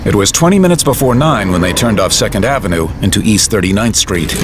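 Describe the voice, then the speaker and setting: A man's voice: on the low side, animated, fast, background noise. A man narrating an audio drama in a dramatic scripted style.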